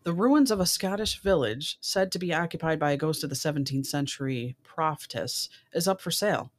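A woman's voice: sits low, a little fast. A woman hosting a casual talk show, reading out odd news stories and dropping in informal asides.

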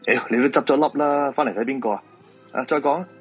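A man acting a weary, grumpy feng shui master in a Cantonese radio drama, gruff and dismissive, with a Hong Kong accent. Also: medium pitch, fast, soft background music, lightly acted. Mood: irritated.

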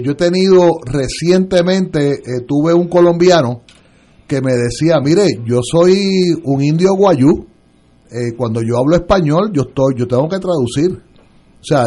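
A man on a political talk radio panel, speaking as a lawyer. His voice sits a little above the usual male range. He pauses a lot, fills gaps with filler sounds and restarts his sentences as he works toward his point. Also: on the high side, lively intonation, dark tone.

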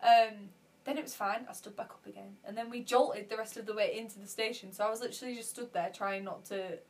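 A young woman telling stories in a casual podcast chat, animated and laughing often. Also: mid-range voice, fast talker. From Yorkshire, England. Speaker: podcast co-host.

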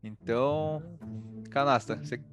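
A man's voice: mid-range voice, measured pace, faint music behind. A young man with an interior São Paulo accent, co-hosting a casual podcast with joking, slangy banter. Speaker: podcast co-host.

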